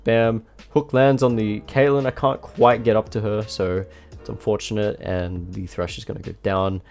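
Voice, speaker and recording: Congested, slightly nasal low-voiced man. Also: normal speed, creaky. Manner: self-mocking, relaxed, frequent fillers. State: congested, blocked nose. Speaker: gameplay commentator. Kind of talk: casual voice-over commentary on his own match. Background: faint background music.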